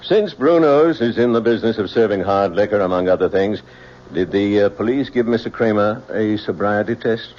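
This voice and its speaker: Stern male coroner played by a radio actor, low and deliberate, official phrasing, muffled vintage broadcast sound. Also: darkish tone, lightly acted. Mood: formal, official. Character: stern, formal male coroner presiding over an inquest. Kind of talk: old-time radio drama acting. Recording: muffled old radio recording, faint noise.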